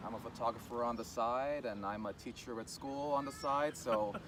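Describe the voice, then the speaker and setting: Relaxed man talking outdoors in traffic. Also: mid-range voice, normal speed. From Los Angeles. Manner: relaxed, rambling with many fillers. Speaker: guest. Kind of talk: casual interview chat. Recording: distant mic, loud traffic outdoors.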